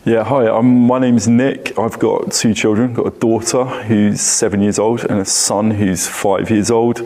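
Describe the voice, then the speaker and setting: A man's voice: on the low side, fairly even intonation, measured pace, mellow. A man, chatting casually about his own experience in a group. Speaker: panel participant. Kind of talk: casual personal chat.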